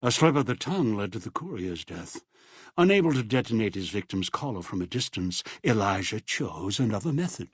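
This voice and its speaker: A man's voice: on the low side, very animated, brisk, husky, dry recording, studio quality. A man narrating a grim video game epilogue in a solemn, scripted storytelling style.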